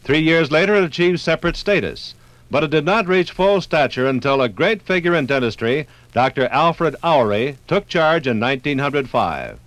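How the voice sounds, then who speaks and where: A man reading scripted 1950s radio documentary narration in a formal, measured, precise manner. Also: fairly high pitch, expressive, a bit bright, no room sound, rough recording.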